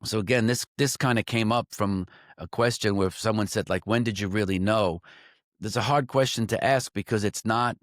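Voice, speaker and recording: A man's voice: fairly low pitch, moderate pace, no room sound, clean studio sound. A man talking as a trading coach, reflecting aloud in a loose, conversational way, full of "like" and "um" and restarting his sentences as he goes. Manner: restarts and self-corrections mid-sentence. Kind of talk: reflective solo talk to camera.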